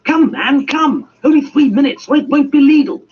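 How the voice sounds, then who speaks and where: A man voicing an excited, hurried Victorian gentleman in a storybook, urgent and exclamatory. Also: extremely high pitch, pitched above the performer's own voice. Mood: frantic. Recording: muffled, noisy amateur audiobook recording.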